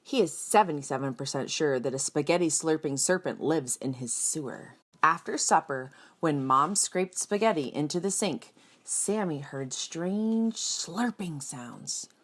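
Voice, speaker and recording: Woman, children's read-aloud, unhurried pace, slightly low pitch, expressive storytelling, dramatic emphasis. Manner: addresses young listeners directly, explains simply; dramatic emphasis, acts out sound words. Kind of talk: expressive picture-book storytelling.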